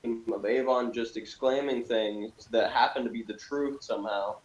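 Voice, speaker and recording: A man's voice: medium pitch, steady tone, moderate pace, distant mic, muffled recording. A man, heard from off the mic in an echoey room, picks apart a TV episode in loose, casual talk. He is contrary and dismissive, with lots of filler "like". Faint noise sits behind him. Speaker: fan commentator. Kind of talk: casual chat, debating a TV episode.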